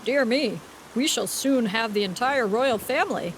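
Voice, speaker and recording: Woman doing friendly rich guy in a storybook, relaxed, kinda vague, light rain noise. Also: a little high, moderate pace, close to an everyday voice, rough recording. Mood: amused. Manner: genial, amused, a bit vague. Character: good-humored young railroad magnate, man. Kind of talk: audiobook reading.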